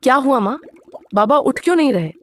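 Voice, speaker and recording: A woman's voice: sits high, expressive, fairly quick, fairly bright tone, slight background noise. A woman playing a young, lovelorn mermaid daughter in a cartoon moral story, earnest and pleading. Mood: anxious.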